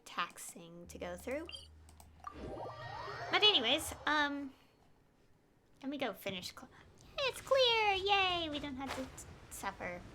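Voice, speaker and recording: A woman's voice: high-pitched, big pitch swings, slow pace, pauses often, background noise. A young woman chatting casually as she streams a game, rambling and hesitantly thinking aloud. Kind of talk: casual live gameplay chat. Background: game music.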